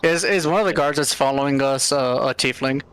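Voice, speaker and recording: A man talking casually as a player in a tabletop game, often halting as he works out what to say. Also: medium pitch, fairly bright tone, clear, resonant tone.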